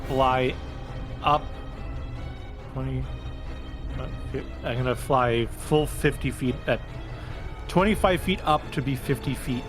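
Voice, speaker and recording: Slow-paced man playing a tabletop game. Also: mid-range voice. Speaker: player in a tabletop roleplaying game. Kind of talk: casual game-table talk. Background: game background music.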